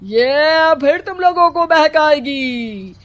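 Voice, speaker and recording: A woman voicing a blustering, alarmist village elder in a Hindi cartoon story, with drawn-out dramatic delivery. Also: sits high, expressive, fairly bright tone, heavily acted. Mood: ominous, alarmist. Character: village headman and fake holy man, blustering, alarmist.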